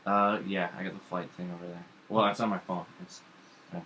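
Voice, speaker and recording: A man's voice: low-pitched, level tone, brisk, pushed and bright, slight background noise, rough recording. A young man co-hosting a casual chat vlog in a home kitchen, talking in hesitant, stop-start phrases. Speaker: vlog co-host. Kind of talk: casual chat about comics and horror films.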